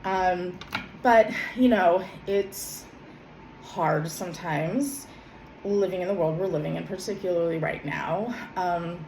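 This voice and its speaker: A woman, a little hoarse from a cold, talking gently with many pauses and self-corrections, then reading her own journal. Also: on the low side, roomy sound, slight background noise, rough recording. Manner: soft, hesitant, many ums and self-corrections. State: slightly hoarse from a summer cold. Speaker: vlogger.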